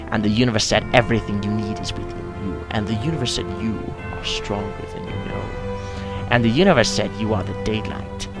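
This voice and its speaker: A man reading aloud in a slow, solemn, dramatic style as narrator, with background music behind him. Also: fairly low pitch. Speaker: video host of a gaming channel. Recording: music under the voice.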